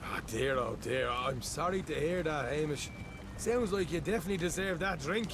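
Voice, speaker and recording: An actor playing an affable Irish outlaw in a video game, rambling and stammering in a chummy way. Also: male voice, a little high, moderate pace, background noise, lightly acted. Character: affable Irish outlaw posing as bumbling delivery driver. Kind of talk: naturalistic video game cutscene acting.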